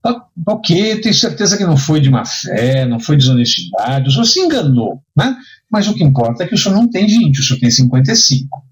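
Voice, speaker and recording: A man's voice: on the high side, sing-song, fairly quick. A man with a Brazilian accent giving a conversational lecture, teaching didactically with rhetorical questions and frequent check-ins. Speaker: insurance and risk management instructor. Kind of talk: conversational lecture with hypothetical examples.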